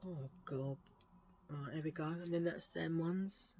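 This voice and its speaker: A middle-aged woman with a husky low voice, chatting in a rambling, self-mocking way while showing a thrift haul. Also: a little slow, dark tone, slight background noise. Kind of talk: casual chatty haul video.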